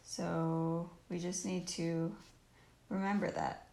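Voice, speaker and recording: A woman with a croaky, creaky voice gives a casual painting tutorial at an unhurried pace. She thinks aloud in short phrases as she works. The live stream sounds roomy. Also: fairly low pitch, flat delivery, darkish tone. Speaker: painter teaching on a livestream. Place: indoors at home, live stream while painting. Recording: roomy live stream with faint noise and clicks.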